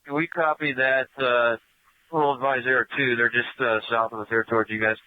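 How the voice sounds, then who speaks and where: A male police officer calls in with a medium-pitched voice. He is heard over a dry, phone-like police radio channel. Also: steady tone, normal speed, no room sound. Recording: tinny, narrow-band police radio transmission.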